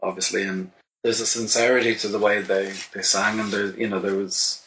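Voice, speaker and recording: An Irish songwriter answering interview questions in a thoughtful, halting way, with many restarts. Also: male voice, on the low side, level tone, a bit bright, a little hiss or hum, low-quality recording. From Ireland. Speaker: songwriter guest.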